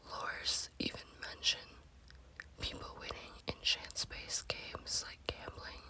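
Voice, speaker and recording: A woman hosting a spooky ASMR story series, speaking in a breathy, hushed whisper with a slow, deliberate delivery. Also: rough recording.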